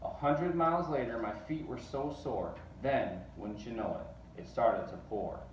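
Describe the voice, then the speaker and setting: A man's voice: medium pitch, measured pace. Man reading a storybook aloud, echoey and distant. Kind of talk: expressive storybook read-aloud. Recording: distant mic, roomy echo, faint noise.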